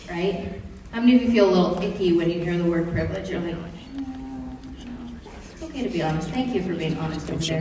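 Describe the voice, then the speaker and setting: A woman's voice: mid-range voice, recorded from a distance, slight background noise. Woman presenter in an echoey hall. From Kenya. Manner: lively, joking, asks the audience questions. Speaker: presenter to high school students. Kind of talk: classroom presentation. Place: large room or hall.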